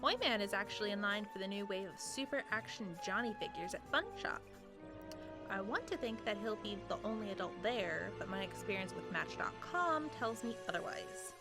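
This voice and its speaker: A woman's voice: medium pitch, lively intonation, moderate pace, over loud music, lightly acted. A woman playing a dry, sarcastic villain who serves as meeting secretary in a comedic radio play. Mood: dry, official. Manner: dry, sardonic.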